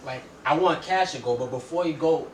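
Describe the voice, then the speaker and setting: A man's voice: on the high side, fairly quick. A man hosting a podcast in casual, slangy, reflective conversation. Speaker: podcast host. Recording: roomy echo, distant mic, faint noise.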